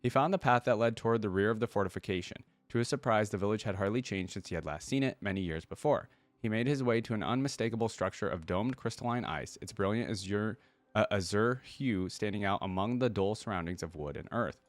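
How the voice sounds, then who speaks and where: A man's voice: fairly low pitch, brisk, no room sound. A man reading a fantasy story aloud expressively and adding casual remarks as a reaction host.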